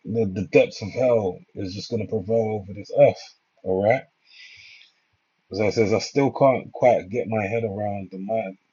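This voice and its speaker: Husky low-voiced man giving informal commentary. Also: darkish tone. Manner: rambling, preacher-like asides, repeatedly checks with "all right". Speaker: religious news commentator. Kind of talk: informal commentary, reading news aloud and reacting. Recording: dull lo-fi microphone.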